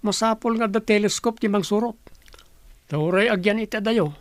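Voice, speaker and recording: A man's voice: sits high, normal speed, no room sound, lightly acted. A man playing a scheming, suspicious villager in a conspiratorial radio drama style. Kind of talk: Ilocano radio drama acting.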